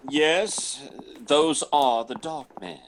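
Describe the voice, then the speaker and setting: A man playing a sly, evasive older man in a radio drama, halting and patronizing as he betrays a younger friend. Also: on the high side. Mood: evasive, stern. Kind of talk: old-time radio drama acting.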